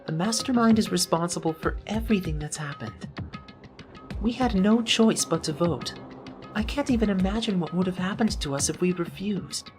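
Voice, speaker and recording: A woman playing an earnest, gentle teenage schoolboy in an anime fandub. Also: on the low side, moderate pace, recorded from a distance, natural voice. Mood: earnest, consoling. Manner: earnest, consoling. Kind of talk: anime game fandub acting. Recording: music under the voice.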